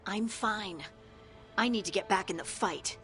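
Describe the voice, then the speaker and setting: A woman's voice: mid-range voice, measured pace, bright, forceful tone, soft background music. A woman acting a plucky, stubborn female fighter in a video game cutscene.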